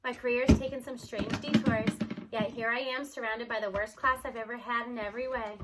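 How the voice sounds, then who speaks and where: A woman reading a children's novel aloud in an expressive storytelling style, as narrator. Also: fairly high pitch, brisk, loud music behind the voice.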